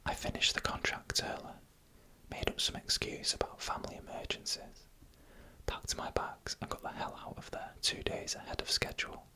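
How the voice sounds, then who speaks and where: A narrator tells eerie first-person stories in a breathy, unvoiced ASMR whisper, with slow and deliberate suspense. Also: bright, forceful tone. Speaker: ASMR storyteller.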